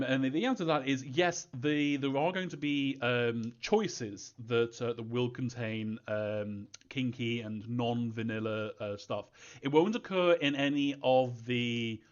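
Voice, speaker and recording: A man hosting a casual, unscripted question-and-answer session, speaking haltingly with frequent ums. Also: mid-range voice, lo-fi sound. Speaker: game developer hosting a fan Q&A.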